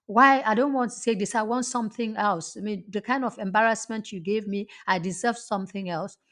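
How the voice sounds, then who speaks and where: A woman's voice: mid-range voice, brisk, fairly bright tone, lightly acted. A woman voicing an indignant young female shopper who insistently demands amends. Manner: insistent, repetitive.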